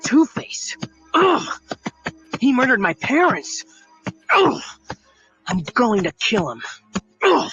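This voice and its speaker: A man's voice: very high voice, big pitch swings, takes it slowly, pauses often, bright, forceful tone, full character voice. An actor playing a bitter, defiant, grieving young man in an audio drama. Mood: furious, straining. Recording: dull lo-fi audio drama, music behind.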